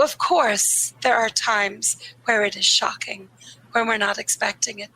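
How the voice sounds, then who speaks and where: A woman grief therapist speaking earnestly and candidly in a podcast interview, a little croaky, slowing down on the points that matter to her. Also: fairly high pitch, sharp tone, poor audio. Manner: earnest, candid, laughs easily, slows on key phrases. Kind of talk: reflective conversational interview.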